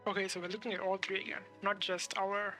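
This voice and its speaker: A bright, forward female voice gives casual playthrough commentary as a game streamer. Her pitch is average, and faint music sits behind her. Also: flat delivery, fast talker.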